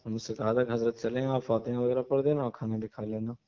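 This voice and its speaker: A man speaking as an imam over a phone call. The audio is muffled and lo-fi. He talks in a measured, preachy way and slows down whenever he makes a moral point. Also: mid-range voice, little pitch movement, clear, resonant tone.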